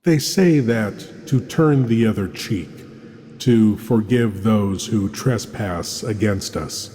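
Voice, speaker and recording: A man giving a scripted dramatic horror introduction, deliberate and savoring, pausing between phrases. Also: fairly low pitch, dark tone. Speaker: episode introducer. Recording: long cavernous reverb.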